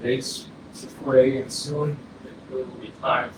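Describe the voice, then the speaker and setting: A man's voice: mid-range voice, little pitch movement, slow, natural voice. An actor playing a wistful, bitter dead young man in a staged play reading. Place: arts center stage. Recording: very echoey hall, distant, faint noise.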